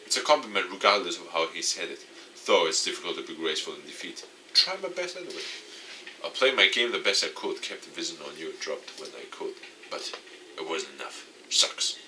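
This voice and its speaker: A man reading visual novel game narration aloud in an expressive, dramatic way. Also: sits low, a little fast, far from the mic, slight background noise, poor audio. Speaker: gaming YouTuber.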